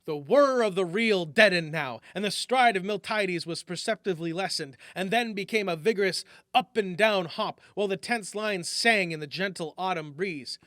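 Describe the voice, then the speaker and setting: Bright, high-voiced male storyteller. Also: moderate pace, hardly any pauses, no room sound, studio quality. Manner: lively, raises pitch and volume for shouted character lines. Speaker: storyteller reading aloud. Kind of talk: expressive read-aloud storytelling.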